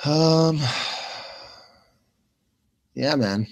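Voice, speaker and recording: A man's voice: a little high, drawn-out words, darkish tone. A man commentating live on fights in a casual, informal way, with plenty of bro and man. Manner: informal, slangy, calls people bro and man. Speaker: commentator, former pro fighter.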